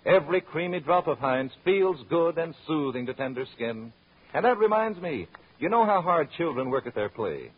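A man's voice: fairly high pitch, expressive, normal speed, slightly rough. An American man delivering a scripted 1930s radio commercial as an announcer, in a friendly, direct sales style. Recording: muffled vintage radio recording.

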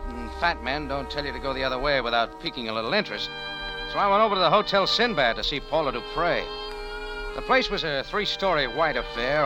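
A man's voice: medium pitch, lightly acted, low-quality recording. A man acting in a 1940s radio drama as a tough, wry American cafe owner turned sleuth, with an American accent and clipped hardboiled delivery. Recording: vintage radio broadcast with music.

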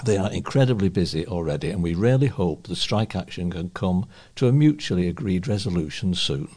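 Man, lowish pitch, rough husky tone, reading local news stories aloud, volunteer newsreader. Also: expressive, brisk, darkish tone. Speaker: volunteer newspaper reader.

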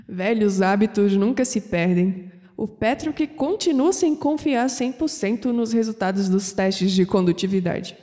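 A woman playing a young, clumsy, curious granddaughter in an audio drama, expressive, with a Brazilian accent. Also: mid-range voice, measured pace, big-room sound, natural voice. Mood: tired, casual. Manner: expressive, musing aloud to herself.

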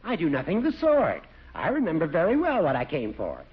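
A man's voice: on the high side, huge pitch swings. Man: elderly judge, fussy and long-winded, voice swooping widely, vintage radio comedy, dull recording. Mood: rambling. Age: older. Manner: long-winded, halting, digressive. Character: rambling, genial, fussy old judge. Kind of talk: 1940s radio comedy acting.

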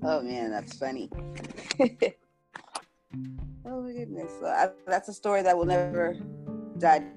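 A woman hosting a podcast, relaxed and unhurried, easily amused. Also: lowish voice, over music. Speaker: podcast host. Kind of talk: casual podcast chat.

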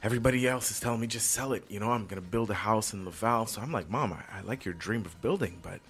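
A man's voice: fairly low pitch, quick pace, a bit bright. A man from Montreal, a guest telling personal stories casually and acting out bits of dialogue. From Montreal, Canada. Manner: conversational, acts out dialogue. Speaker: interview guest.

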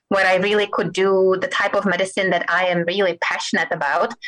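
A middle-aged woman from the Czech Republic with a Czech accent, a guest physician in an interview, talking thoughtfully and pausing to search for words. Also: on the low side, fairly bright tone, poor audio. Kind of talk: interview conversation.

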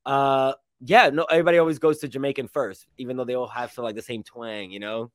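A man from New York hosting a podcast, talking casually and excitedly, often repeating and restarting words. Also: mid-range voice, fast, no echo, clean studio sound. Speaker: podcast host.

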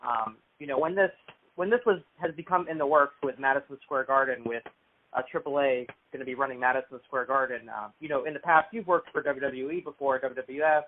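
A man's voice: mid-range voice, steady tone, brisk, narrow, tinny audio. A man interviewing a guest over the phone, asking long rambling questions. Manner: rambling, filler-heavy questions.

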